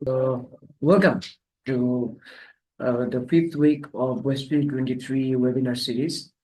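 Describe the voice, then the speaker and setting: A man with a mid-pitched voice hosts an online webinar. He lays out each topic in a calm, measured, explanatory way. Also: poor audio. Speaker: webinar host. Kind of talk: webinar presentation.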